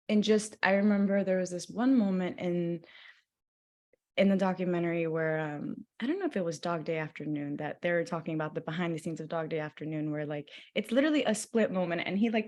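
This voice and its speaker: A woman's voice: medium pitch, darkish tone. A woman in her twenties from Los Angeles, speaking as an interview guest in a loose, rambling way with many fillers and restarts. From Los Angeles, USA. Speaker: guest actor. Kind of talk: casual interview talk.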